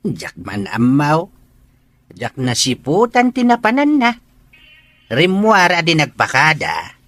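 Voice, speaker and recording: Guy acting as old filipino uncle in a radio drama, teasing, really bright voice, slow drawl. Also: a little high, wildly swooping pitch, no room sound, heavily acted. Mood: folksy teasing. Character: older village uncle, folksy and teasing with a young woman.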